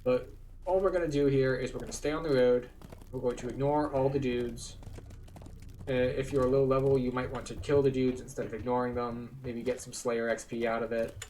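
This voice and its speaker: A man's voice: medium pitch, flat delivery, fairly quick, warm tone, distant mic. A man talks through a video game in an easygoing, explanatory way. He sounds a little away from the microphone, with faint noise in the recording. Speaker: video game tour guide and player. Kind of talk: casual gameplay commentary.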